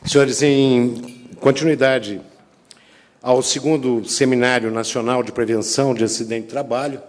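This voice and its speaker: A man chairing a session, formally introducing the next speaker in a ceremonious, halting way. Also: mid-range voice, fairly even intonation. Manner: ceremonious, hesitant, with pauses. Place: conference auditorium. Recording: reverberant lecture hall.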